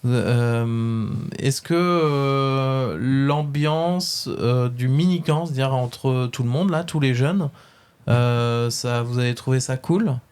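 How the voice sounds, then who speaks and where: A man's voice: mid-range voice, slow pace, a little mellow, smooth. Hesitant French man interviewing teens. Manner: hesitant, many fillers, friendly questions. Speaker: webradio interviewer. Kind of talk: casual on-site interview.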